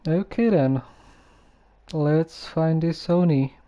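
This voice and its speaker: Slow, mellow-voiced game streamer. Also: mid-range voice. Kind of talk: casual live gameplay commentary.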